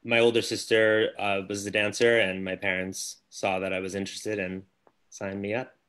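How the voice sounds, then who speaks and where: Relaxed low-voiced man at home. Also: normal speed. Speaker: guest dancer. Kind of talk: casual chat. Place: home apartment. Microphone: video call.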